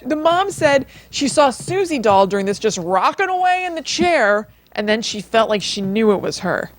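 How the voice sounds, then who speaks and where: A woman's voice: on the high side, sing-song, moderate pace. A woman co-hosting a podcast, telling a story in a casual, informal and conversational way. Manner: informal, chatty, peppered with "like". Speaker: podcast co-host retelling a paranormal TV episode.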